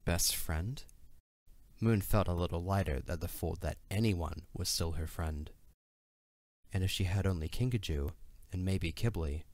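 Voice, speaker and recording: A man's voice: low-pitched, unhurried, a little mellow, no echo. A man reading scripted third-person story narration as the narrator of an audio drama.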